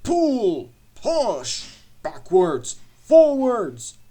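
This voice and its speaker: A man voicing cheeky, rebellious old goods trucks in a children's storybook, shrill and groaning. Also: huge pitch swings, slow pace, a little mellow, heavily acted. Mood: groaning protest.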